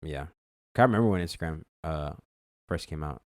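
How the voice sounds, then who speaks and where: A man's voice: a bass voice, lively intonation, a little fast, no echo, studio quality. A young man co-hosting a podcast, talking casually and loosely with lots of fillers and restarts. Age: young adult. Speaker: podcast co-host. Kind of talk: casual chat.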